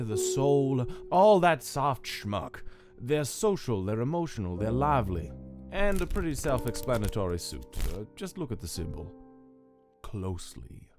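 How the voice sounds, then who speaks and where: A man acts out a smug cartoon casino boss, sly and theatrical, with bitterness under the cynicism. The delivery is slow and drawn out, with wide swings in pitch. Music plays behind the voice. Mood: dismissive. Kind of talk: scripted comic dub character acting.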